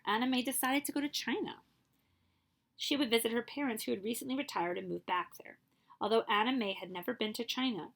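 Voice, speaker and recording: A woman librarian reading a picture book aloud at a steady storytelling pace, now and then stopping for a short aside to her listeners. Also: mid-range voice, vocal fry.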